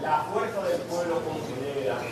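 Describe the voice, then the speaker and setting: An older man with a Dominican Spanish accent formally reads a prepared political statement at a press conference, in a slightly halting way. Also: fairly high pitch, animated. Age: older, 60s to 70s. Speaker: political party spokesman. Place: indoor press conference room. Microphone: table-top press microphone on stand. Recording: echoey noisy hall, distant pickup.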